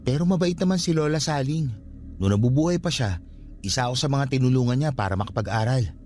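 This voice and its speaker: A Filipino man acting in a radio drama as a calm, respectful young caretaker of a haunted guesthouse, speaking politely and reassuringly. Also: mid-range voice, fast, slight background noise, close to an everyday voice. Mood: matter-of-fact.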